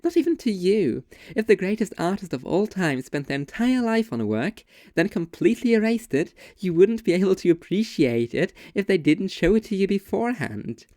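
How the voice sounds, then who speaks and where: An intense, sardonic young woman played by a performer argues her case in deliberate, articulate sentences. The voice sits at a middling pitch and is recorded very dry and close. It sounds like scripted literary dialogue, opinionated throughout. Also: big pitch swings, darkish tone, no room sound, close to an everyday voice. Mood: argumentative, sardonic. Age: young adult. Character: two young women debating art, intense and sardonic. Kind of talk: scripted literary dialogue, argumentative monologue delivery.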